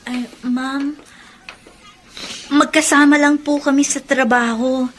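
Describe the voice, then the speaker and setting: An actress playing a respectful young Filipino office worker in a radio drama, speaking deferentially. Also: female voice, high voice, fairly even intonation, takes it slowly, slight background noise. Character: respectful young female office team leader.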